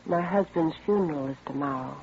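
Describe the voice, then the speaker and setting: Casting: composed, weary society widow, gravelly edge, hesitant and dignified, muffled vintage radio drama. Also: female voice, low-pitched, fairly quick. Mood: subdued, polite. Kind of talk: old-time radio crime drama acting. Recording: muffled vintage radio recording, faint noise.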